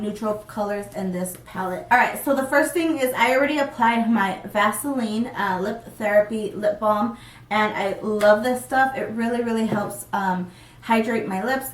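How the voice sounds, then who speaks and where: A young woman with a blocked-up, congested voice from a cold talks in a bubbly, rambling way, full of ums, as she walks through a makeup tutorial. Her voice sits a bit high and comes from a little away from the mic, with a roomy echo around it. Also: distant mic. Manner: chatty and upbeat, many ums. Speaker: beauty vlogger. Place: small room, plain backdrop.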